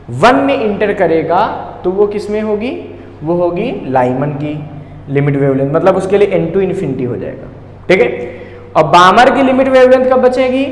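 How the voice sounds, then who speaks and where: A man with an Indian accent teaching physics step by step, asking questions and answering them himself, with English technical terms mixed in. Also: high voice. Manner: mixes English technical terms into his talk. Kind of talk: step-by-step problem-solving lesson. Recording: echoey reverberant room, faint background noise.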